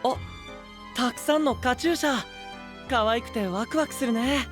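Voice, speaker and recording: A man playing a gentle, curious, polite young knight in an anime voice drama, speaking softly with calm teasing. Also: very high-pitched, moderate pace, music in the background. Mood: curious, delighted.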